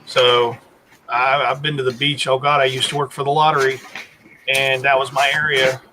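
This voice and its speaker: A man's voice: medium pitch, level tone, moderate pace, bright, forceful tone. A man, rambling through stories in a casual chat. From North Carolina. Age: 40s. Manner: rambling, storytelling with restarts. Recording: noisy background.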